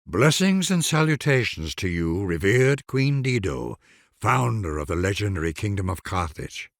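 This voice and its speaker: A man's voice: on the low side, very animated, moderate pace, no room sound, studio quality. A man reading grand scripted narration for a strategy game, addressing the listener in a formal, stately, dramatic way.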